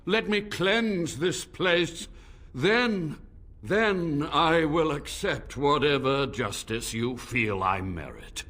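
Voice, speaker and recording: A solemn, remorseful old mage. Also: male voice, on the high side, expressive, bright, forceful tone, exaggerated voice. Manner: slow, grave, formal speech. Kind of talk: video game voice acting.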